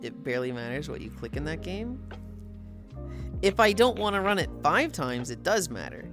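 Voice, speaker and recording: A woman in her thirties chatting on a casual livestream, swearing freely and laughing through animated rants while she exercises. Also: low voice, normal speed, soft background music. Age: 30s. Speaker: streamer.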